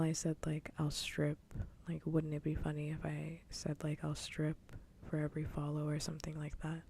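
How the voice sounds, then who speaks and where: A woman livestreaming casual ASMR chat in a soft, breathy whisper, rambling close to the microphone. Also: deep voice, a little hiss or hum. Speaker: livestream host.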